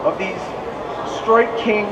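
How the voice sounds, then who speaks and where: A hoarse man gives a rambling, explanatory seminar talk, his voice pitched high for a man. He sounds distant from the microphone in a roomy, echoing hall, with a steady air-conditioning hum behind him. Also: takes it slowly, lo-fi sound. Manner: explanatory, digressive, with restarts. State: hoarse from speaking. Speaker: fishing seminar presenter. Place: expo seminar hall, indoors.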